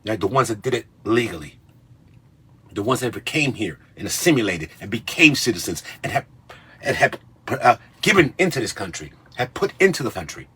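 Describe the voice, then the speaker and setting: A man's voice: fairly low pitch, sing-song, brisk, bright, forceful tone, breathy edge. A man in his late fifties, Cuban-born with a Spanish accent, lecturing in a confrontational video rant and tagging lines with "okay?". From Cuba. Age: 50s. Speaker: commentator in a clip.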